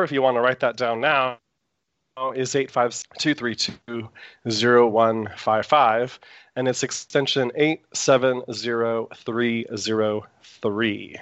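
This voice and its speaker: A man's voice: medium pitch, no room sound, highs cut off. A man hosting a live internet radio talk show, chatty and meandering with frequent fillers, speaking through a sore throat.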